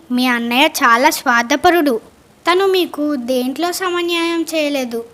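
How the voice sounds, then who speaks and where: High-voiced indignant young wife played by a woman. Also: fairly bright tone. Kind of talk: acted story dialogue.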